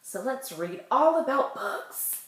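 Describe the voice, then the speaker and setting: A woman speaks as a preschool teacher in a roomy, echoey home recording. Her delivery is slow and very animated, built around simple questions and clear emphasis on words for young children, as in a circle-time read-aloud. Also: high-pitched. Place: indoors in a room at home.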